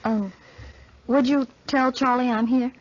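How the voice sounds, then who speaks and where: A woman's voice: high voice, fairly even intonation, measured pace. An actress in a 1940s radio drama playing a sweet, naive, well-bred young lady with a polite, girlish manner. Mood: eager. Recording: muffled 1940s radio recording.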